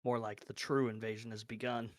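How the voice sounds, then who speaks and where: A man's voice: mid-range voice, little pitch movement, brisk, no echo. A man playing a tabletop roleplaying game, casually narrating what his character does and chatting with others. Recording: slightly noisy mic with clicks.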